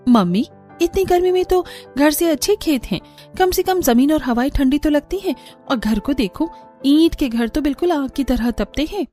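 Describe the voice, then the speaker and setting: A high, earnest young village wife in a cartoon, put on by the female narrator, a poor daughter-in-law weary of the summer heat. Music runs behind her. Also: animated, moderate pace, mellow.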